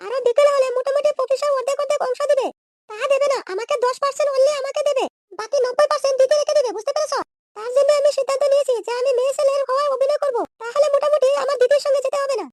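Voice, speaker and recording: A woman voicing a brash, defiant young wife in a comedy cartoon, scolding and bossy, with a squeaky sped-up effect. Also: fairly even intonation, very fast, background noise. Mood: aggrieved, scheming.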